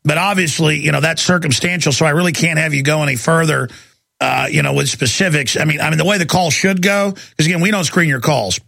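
A man's voice: fairly high pitch, fast, creaky, sharp tone, dry recording, clean studio sound. An American man hosting a live political call-in radio show, talking in an emphatic, opinionated way. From United States. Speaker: talk radio host.

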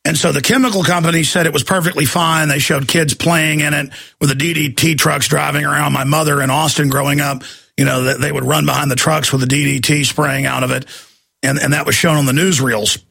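A radio talk show host, who is losing his voice, talking in an emphatic, ranting way that climbs high when he gets worked up. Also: fairly even intonation, fairly quick, creaky, bright, forceful tone, husky. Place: radio studio. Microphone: studio microphone.

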